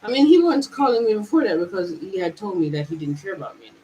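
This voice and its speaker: Halting, slow-musing woman livestreaming. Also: medium pitch, big pitch swings, mellow, slight background noise, low-quality recording. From Jamaica. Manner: halting, with frequent restarts and stretched, slowed phrases. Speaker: spiritual vlogger. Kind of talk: unscripted livestream musing to viewers. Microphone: camera mic.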